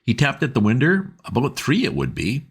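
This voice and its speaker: A man's voice: medium pitch, huge pitch swings, normal speed, breathy edge, pitched above the performer's own voice. A man voicing a stout, red-faced, working-class boatman's wife who rambles anxiously in homely dialect.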